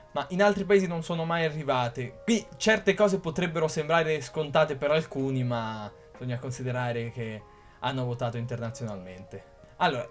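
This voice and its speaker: Hesitant young man with soft music. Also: fairly high pitch. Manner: hesitant, restarts words, trails off quietly. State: feverish. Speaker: channel host explaining a meme. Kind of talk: reading a script.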